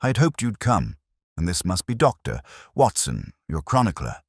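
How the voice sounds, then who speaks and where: A composed Victorian widow acted out by a male storybook reader, severe and direct. The voice stays low for a lady, with formal phrasing and lively intonation in a dry recording. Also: normal speed, husky, no echo, full character voice. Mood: gracious, formal.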